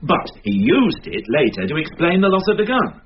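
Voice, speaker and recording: A man's voice: on the high side, wildly swooping pitch, normal speed. An actor playing an insistent senior British detective who thinks aloud and argues his theories emphatically in a radio drama. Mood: animated, certain. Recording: dull, hissy radio drama recording with clicks.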